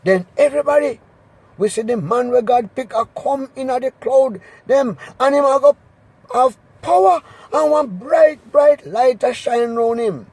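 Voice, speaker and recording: High-pitched Jamaican man reading scripture. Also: unhurried, a little mellow, husky, no room sound, poor audio. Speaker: Bible reader. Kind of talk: expressive scripture reading in Patois.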